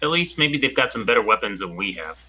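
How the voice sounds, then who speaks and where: A man's voice: lowish voice, sing-song, quick pace, crowd noise. A man playing a tabletop role-playing game, talking casually with the group. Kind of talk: casual chat.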